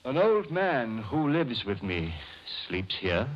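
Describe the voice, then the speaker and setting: An actor playing a nervous madman murderer who feigns genteel calm, in theatrical old radio drama style with overpolite, hesitant speech. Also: medium pitch, takes it slowly. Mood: evasive, uneasy. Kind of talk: 1940s radio acting, suspense monologue and dialogue. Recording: noisy, muffled old radio recording.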